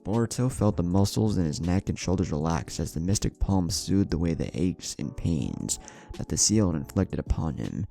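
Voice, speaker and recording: A man narrating a scripted story in a measured, dramatic storytelling manner. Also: low-pitched, expressive, creaky, warm tone, soft background music.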